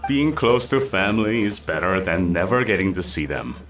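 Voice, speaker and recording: Warm chatty villager in a game, lowish voice that swings around, bit distant. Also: male voice, lively intonation, moderate pace, soft background music. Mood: thoughtful. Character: friendly, modest male villager, family man. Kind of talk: scripted video game dialogue.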